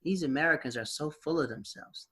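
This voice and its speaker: A man's voice: on the high side, brisk, sharp tone, husky. A man hosting a podcast over a video call, asking eager, probing questions in a casual interview. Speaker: podcast host.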